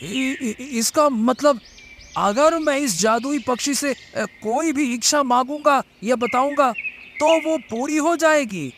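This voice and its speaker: A man's voice: extremely high pitch, slow, a bit bright, slight background noise, heavily acted. A man voicing a poor ageing farmer in a children's cartoon, anxious and humble, with a hesitant, stammering delivery. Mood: amazed. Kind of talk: children's cartoon story dialogue.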